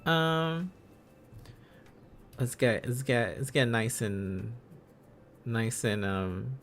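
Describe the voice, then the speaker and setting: Guy livestreamer just thinking out loud, slow halting talk, kinda rambly, faint music behind him. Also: medium pitch. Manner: rambling, musing aloud in halting repeated phrases. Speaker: livestream host. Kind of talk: casual livestream chat while learning a language.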